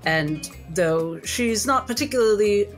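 A woman playing a tabletop game, giving a measured, descriptive account of a character. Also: mid-range voice, lively intonation, soft background music.

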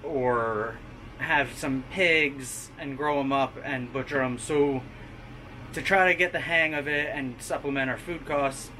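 Bright-voiced man vlogging to camera. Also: a little high, a little hiss or hum, poor audio. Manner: chatty, easygoing, talks straight to camera. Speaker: homestead vlogger. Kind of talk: casual vlog narration. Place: outdoors on rural land, then inside a camper. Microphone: camera held at arm's length.

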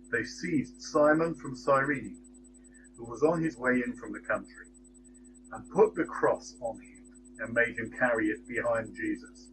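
A middle-aged man reading a Bible passage and giving a church reflection, in a measured, deliberate way, lifting his voice on the quoted words, recorded at close range on a webcam in a small room. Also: mid-range voice. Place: small indoor room with shelves. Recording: steady electrical hum behind the voice.

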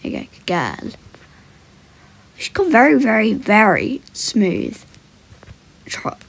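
Young woman horse vlogger, kinda high breathy voice, chatting casually and coaxing her horse. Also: very animated, takes it slowly. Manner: breaks off into short soothing commands and murmured praise. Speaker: horse rider in a voiced role-play video. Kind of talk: casual vlog commentary with coaxing asides to a horse.

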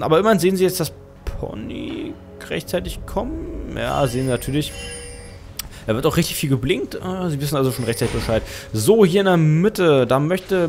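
A young man with a German accent commentates a game from his desk in a loose, rambling way, thinking out loud and fixing his own slips. Music plays clearly underneath his voice. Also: fairly high pitch. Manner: chatty, self-correcting, thinks aloud. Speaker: game caster.

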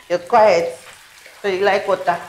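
A woman's voice: mid-range voice, slight background noise, natural voice. An actress playing a callous young woman vlogger who taunts and scolds in a mock-sweet, scornful way. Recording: echoey room with faint music.

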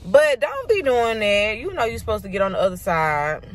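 A woman's voice: mid-range voice, very animated, normal speed. A young woman with a Southern US accent chatting casually and in slang to a phone held at arm's length. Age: young adult. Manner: informal, slangy. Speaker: vlogger. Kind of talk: casual vlog chat to camera. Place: rooms at home and a parked car.

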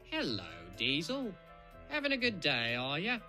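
A man's voice: a little high, huge pitch swings, unhurried, sharp tone, soft background music, heavily acted. A man voicing a cocky, theatrical red steam engine who plays detective in a cartoon dub. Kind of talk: cartoon fan dub acting.

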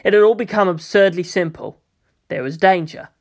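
Story narrator, mid-pitched voice, dramatic scripted reading, audiobook. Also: normal speed.

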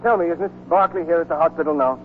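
A man's voice: a little high, fast, close to an everyday voice. An actor playing a weary American army deserter narrating his wartime love story in a 1940s radio drama. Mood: urgent. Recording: muffled, noisy 1949 radio broadcast recording.

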